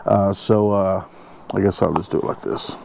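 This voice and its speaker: Male camp-cooking vlogger, lowish voice, rambling and jokey, outdoor mic with slight noise. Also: steady tone, normal speed, creaky. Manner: joking asides, rambling fillers. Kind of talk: casual camp cooking chat. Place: outdoors at a campsite.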